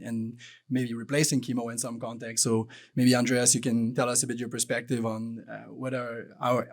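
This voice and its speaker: Man, monotone, moderator, halting, repeats words. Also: mid-range voice. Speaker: panel co-chair. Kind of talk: moderating a panel.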